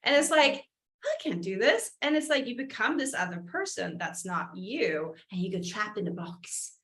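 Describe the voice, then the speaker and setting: A bright, forceful female voice with a Swedish accent comes through in an echoey room. She hosts in a casual, expressive way, often interrupting herself mid-thought. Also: medium pitch, normal speed. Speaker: interview host. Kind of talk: casual chat.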